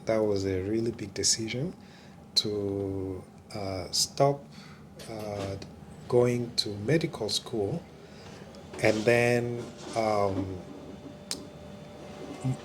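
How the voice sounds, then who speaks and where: A man from the Democratic Republic of Congo, a pastor answering interview questions in a hesitant way with many pauses. His is Kiluba. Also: lowish voice, a little hiss or hum. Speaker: pastor, church regional staff.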